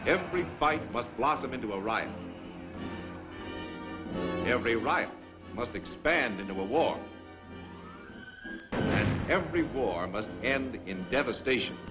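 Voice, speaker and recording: An American man delivering scripted 1950s propaganda film narration as a military presenter, with an emphatic, deliberate and sardonic delivery. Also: mid-range voice, animated. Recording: dull 1950s film soundtrack, music behind.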